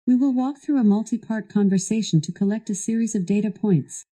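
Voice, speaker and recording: A woman with a very soft, dark tone reads scripted instructions as a voice assistant. Her delivery is measured and formal, at an average pitch. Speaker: voice assistant guiding a product demo.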